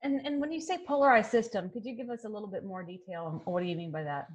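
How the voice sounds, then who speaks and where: A woman's voice: medium pitch, fast talker, slightly rough. A woman hosting a video call interview, introducing her guest and asking short prompting questions. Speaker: host. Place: home office.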